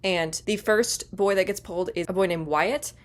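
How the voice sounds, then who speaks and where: A woman's voice: medium pitch, dry recording. A young woman with a congested voice from a cold, chatting casually and rambling as she recaps a book at home. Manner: fast, bubbly and rambling. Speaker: book vlogger. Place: at home in front of a bookshelf.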